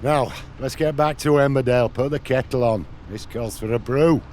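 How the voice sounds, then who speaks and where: A man's voice: mid-range voice, moderate pace, darkish tone, slight background noise, natural voice. A man playing a hearty, proud but good-natured village headman in a video game, with a declamatory delivery. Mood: hearty, commanding.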